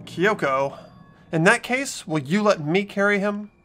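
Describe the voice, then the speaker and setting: A man playing an earnest, anxious teenage schoolboy in an anime game fan dub, with an expressive, worried delivery. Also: high-pitched, unhurried, slight background noise, heavily acted. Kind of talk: visual novel fan dub voice acting.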